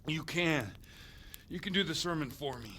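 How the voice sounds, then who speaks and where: A dissolute medieval priest, pitched a little high. He is a blustering, scheming type with a theatrical, foul-mouthed way of talking, straight out of a game cutscene. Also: lively intonation, normal speed, pushed and bright, a little hiss or hum. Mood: desperate. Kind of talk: video game cutscene acting.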